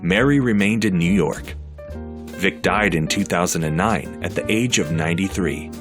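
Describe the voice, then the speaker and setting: A man giving measured, scripted documentary narration. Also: sits low. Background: music underneath.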